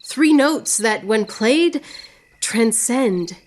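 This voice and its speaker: An actress playing a witty, proud, lovelorn woman poet in radio theatre, speaking in a theatrical, yearning way with drawn-out phrases. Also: fairly high pitch, lively intonation, a little mellow, exaggerated voice. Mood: passionate. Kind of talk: poetic radio theatre acting.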